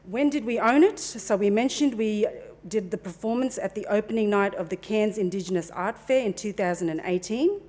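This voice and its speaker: A middle-aged woman talks through a conference presentation on a handheld mic in a meeting room. Her delivery is relaxed and chatty, full of small asides and fillers, at an ordinary middle pitch. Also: normal speed, hardly any pauses. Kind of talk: presenting a talk at a forum.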